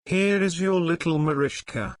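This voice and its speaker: A man's voice: on the high side, normal speed, no echo, pitched above the performer's own voice, lightly acted. A man voicing a poor, devout father in a storybook, speaking earnestly to his family and elders. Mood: comforting, glad.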